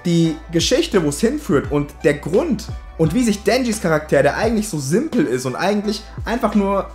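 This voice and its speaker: A man's voice: high voice, sing-song, normal speed, darkish tone. A man talking casually and enthusiastically to viewers as a YouTube reviewer, in colloquial, slangy language peppered with English loanwords. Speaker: YouTube anime and manga reviewer. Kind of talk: casual direct-to-viewer video commentary. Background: background music.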